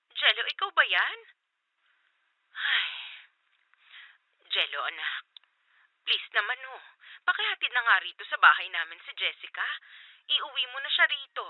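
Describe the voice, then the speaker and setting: A nagging aunt on the phone. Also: female voice, on the high side, very animated, a little slow, pauses often, no echo. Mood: pleading. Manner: pleading, nagging. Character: anxious middle-aged aunt on the phone. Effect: phone line. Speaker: aunt calling her nephew. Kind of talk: Filipino radio drama acting. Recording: muffled phone-line sound.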